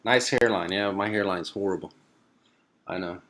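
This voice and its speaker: A young man from Sweden hosting a live stream, casually reading viewer comments and answering them in a relaxed, rambling way with plenty of fillers. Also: sits low, normal speed.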